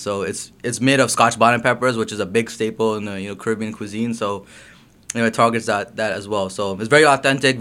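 A young man from Guyana, a guest talking about his business in a relaxed, casual way, full of "um" and "you know". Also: on the low side, clear, resonant tone. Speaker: guest, hot sauce business owner. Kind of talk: casual interview chat.

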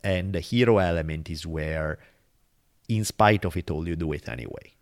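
A man with a low, deep voice and an Italian accent. He speaks in a casual, reflective podcast style. His manner is earnest and emphatic, and he swears freely. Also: moderate pace. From Italy.

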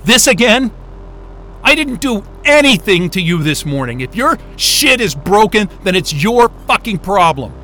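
A man acts out an irritable male astrophysicist stuck at an isolated station. His voice is very bright and sharp and sits somewhat high. He is sarcastic and swears freely, in audio drama style. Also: big pitch swings, unhurried, faint music behind, heavily acted. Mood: furious, exasperated.

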